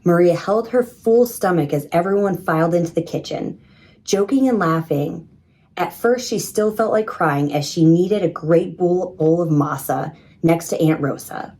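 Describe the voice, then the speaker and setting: A woman reading a children's story in an expressive, measured storytelling manner. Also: on the low side. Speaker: storybook reader. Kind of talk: scripted children's story narration.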